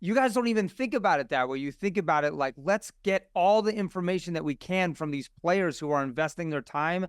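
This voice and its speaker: Male podcast guest, slightly high smooth voice, dry recording, earnest and effusive. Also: expressive, a little fast, few pauses, no echo. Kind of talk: casual podcast chat.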